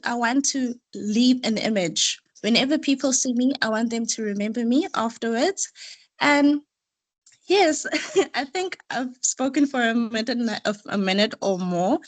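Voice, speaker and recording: Soft-spoken woman. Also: on the high side, low-quality recording. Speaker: course participant. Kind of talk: spoken one-minute pitch. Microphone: video call.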